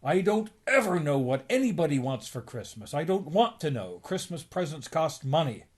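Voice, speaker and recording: A man voicing a grumpy old miserly billionaire duck uncle, gruff and blustering, for a comic story. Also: fairly high pitch, big pitch swings, normal speed, darkish tone, pitched above the performer's own voice. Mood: exasperated.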